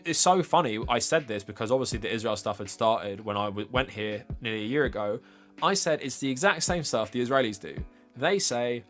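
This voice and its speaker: A man's voice: medium pitch, a bit bright. A man with an English accent giving informal, opinionated political commentary as a livestream presenter, speaking in a conversational, emphatic way.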